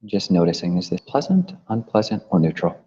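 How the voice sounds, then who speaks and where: A man leading a guided meditation as a teacher, gently instructing with long pauses between phrases. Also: low-pitched, big pitch swings, slightly rough. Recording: muffled, long reverberant hall echo.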